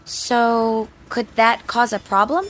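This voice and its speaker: An actress in a radio drama playing an earnest, hopeful young wife shopping for a car, slightly fussy and questioning. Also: female voice, fairly high pitch, steady tone, slow, a bit bright, a little hiss or hum. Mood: puzzled. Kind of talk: old-time radio drama acting.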